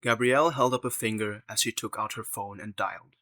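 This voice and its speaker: A man's voice: on the low side, brisk, fairly bright tone, dry recording, clean studio sound. A man narrating an audio drama in a scripted storytelling style.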